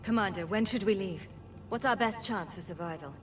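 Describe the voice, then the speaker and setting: A woman playing an earnest, composed scientist in a sci-fi video game, speaking in a measured, articulate way. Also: medium pitch, faint music behind, close to an everyday voice. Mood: businesslike. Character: earnest, composed adult woman scientist, former research physicist.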